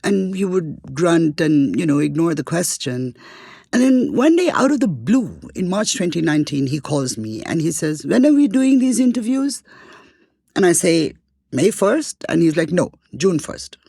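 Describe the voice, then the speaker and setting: A woman co-hosting a podcast, telling things in a conversational, expressive way. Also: fairly low pitch, moderate pace, creaky, slightly rough, dry recording, studio quality. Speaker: podcast co-host.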